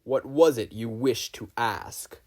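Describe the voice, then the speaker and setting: A man's voice: mid-range voice, slow pace, a little mellow. A man voicing a burly, supportive minotaur warrior in a story, earnest and emphatic.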